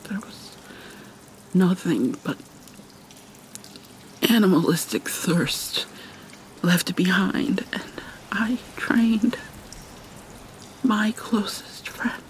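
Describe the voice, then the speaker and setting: A woman playing a guilt-ridden, prickly but caring vampire mermaid in an ASMR roleplay, halting and formal, slipping into whispers. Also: medium pitch, expressive. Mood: anguished, haunted. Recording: noisy ambience with water sounds.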